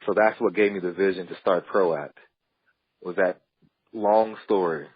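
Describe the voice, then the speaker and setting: Earnest husky-voiced man, muffled audio. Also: low-pitched, a little slow, no room sound. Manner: earnest, with some repeated starts. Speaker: podcast guest. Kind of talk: storytelling interview answers.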